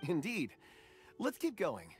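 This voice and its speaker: An actor playing an earnest, reserved ore enthusiast in a video game, polite, thoughtful and contemplative. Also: male voice, a little high, huge pitch swings, takes it slowly, over music, full character voice. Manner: polite, thoughtful, slightly poetic.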